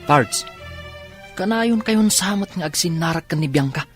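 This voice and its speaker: An actor in an Ilocano radio drama playing a nosy, teasing buddy who warns a friend of trouble. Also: male voice, on the high side, animated, a little slow, soft background music. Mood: teasing, warning.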